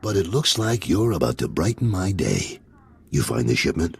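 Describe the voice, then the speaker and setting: A very deep, rough-voiced underworld boss, gruff and weary. His husky, gravelly delivery swings widely in pitch, with blunt, sardonic video game character timing. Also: sing-song, normal speed, heavily acted. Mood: wry, businesslike.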